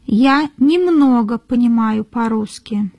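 A woman reading model sentences for learners in a careful, deliberate way, repeating each phrase. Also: on the high side, a little mellow, no room sound. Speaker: native-speaker model reader.

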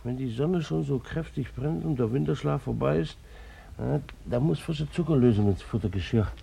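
A man's voice: medium pitch, moderate pace, creaky, mellow, husky, full character voice. An actor in a German radio play, playing a retired bailiff and beekeeper in his sixties, dutiful, fussy and wounded, with a formal, reflective manner. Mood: busy, muttering. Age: 60s. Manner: formal, reflective, old-fashioned official's wording. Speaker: actor narrating in character.

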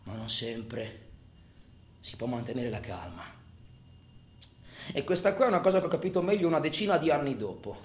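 An actor playing a volatile, haunted robber who confesses in a colloquial, profane radio theatre monologue, swinging from hushed to shouting. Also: male voice, medium pitch, sing-song, moderate pace, long pauses, close to an everyday voice. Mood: brooding, boastful. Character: volatile, haunted male robber recalling his crimes. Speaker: solo actor in a radio play. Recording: echoey room with noisy hum.

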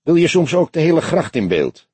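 A man's voice: on the low side, sing-song, normal speed, darkish tone, husky, no room sound. A man voicing a grumpy, harried older police photographer who grumbles, in an audiobook.

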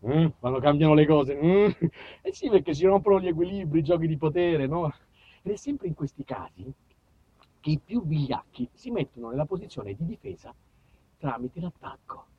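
A man's voice: a little high, expressive, normal speed, husky. A man narrating a theatrical comic monologue, addressing listeners directly with dramatic emphasis. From southern Italy. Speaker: storyteller, solo stage performer. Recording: slightly muffled, faint background noise.

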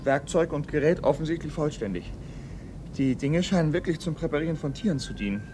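A man acting a shaken, earnest young police assistant in a German radio crime play, speaking haltingly. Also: a little high, expressive, warm tone, a little hiss or hum, close to an everyday voice. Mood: reporting. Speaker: actor in radio crime drama.

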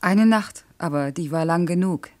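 A woman's voice: fairly low pitch, normal speed, warm tone, lightly acted. An actress playing a proud, stubborn working single mother in a radio play, clipped, blunt and defensive. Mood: curt, determined. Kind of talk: German radio play acting.

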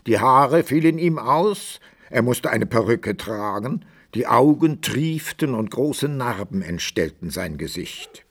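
A man narrating a literary radio drama in an expressive, measured storytelling manner. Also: on the low side, creaky, slightly rough.

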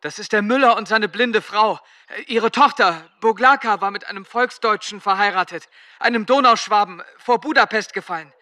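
A teenage boy soldier in a radio drama. The voice is high and very bright, recorded dry, earnest and dutiful with a pleading edge. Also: normal speed, no echo. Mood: earnest, respectful. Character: earnest seventeen-year-old boy soldier, decent and protesting. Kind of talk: German radio drama acting.

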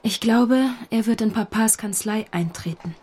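An actress playing a gentle, devoted young woman with an earnest, composed manner in a radio drama. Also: on the high side, a little slow. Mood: worried, earnest. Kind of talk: German radio drama dialogue.

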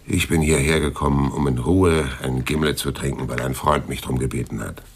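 An actor playing a laconic, world-weary private detective who narrates and talks drily in a radio crime drama. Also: male voice, a bass voice, steady tone, fairly quick, slightly rough, heavily acted. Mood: dry, unimpressed. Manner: dry, laconic. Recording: music behind the voice.

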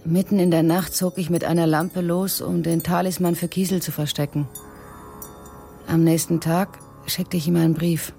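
An actress playing a young woman recounting her haunted story in an intimate, hushed manner in a radio drama. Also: lowish voice, flat delivery, normal speed, gravelly, faint music behind. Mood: confiding, reflective. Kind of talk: literary radio drama narration.

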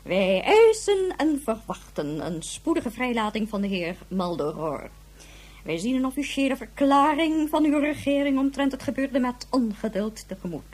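A woman's voice: sits high, big pitch swings, normal speed, darkish tone. An actress playing a stern female head of government in a radio drama, speaking in a formal, commanding way. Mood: stern, indignant. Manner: formal, commanding, clipped officialese.

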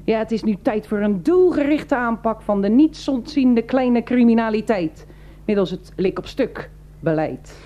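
An actress playing a stern, pompous, long-winded official in a Dutch radio play. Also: female voice, a little high, lively intonation, measured pace, warm tone. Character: stern pompous female official railing against petty crime.

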